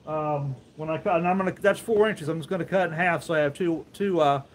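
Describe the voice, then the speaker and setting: A man's voice: a little high, brisk. A man in his fifties chatting casually and unhurriedly to viewers as he works in an open outdoor sawmill shed, explaining as he goes. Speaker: host, sawmill woodworker. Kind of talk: casual live vlog while working. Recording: outdoor workshop noise, varying distance from mic.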